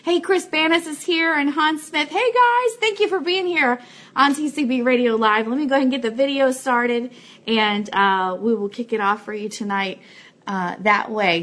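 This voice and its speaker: A woman's voice: sits high, animated, moderate pace, a bit bright. A woman co-hosting a casual live online show, chatty and effusive as she speaks to her viewers. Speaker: co-host and founder of a radio show.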